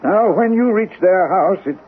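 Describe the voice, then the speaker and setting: A man's voice: high voice, moderate pace, breathy edge. A man playing a kindly, encouraging sanitarium doctor in a radio drama, talking conversationally with small questioning asides. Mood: reassuring, upbeat. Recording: tinny, noisy old radio broadcast.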